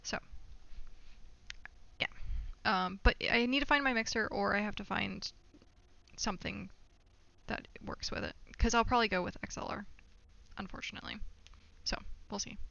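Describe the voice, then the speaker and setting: Woman game streamer, bright forceful voice, giddy and self-deprecating, casual rambling chat, close dry lo-fi mic. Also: medium pitch, normal speed, gravelly, pauses often, no echo. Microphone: budget desktop microphone.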